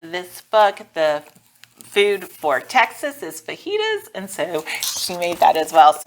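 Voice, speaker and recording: A woman's voice: on the low side, big pitch swings, slow. A woman, a homeschooling mother hosting a casual video update in a chatty, informal, explanatory way. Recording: noticeable background noise.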